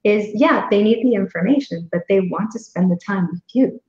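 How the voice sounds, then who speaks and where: A woman's voice: mid-range voice, normal speed. A woman speaking coach, a guest in a casual interview, telling stories and murmuring agreement. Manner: warm storytelling, frequent affirming murmurs. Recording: echoey, dull remote audio.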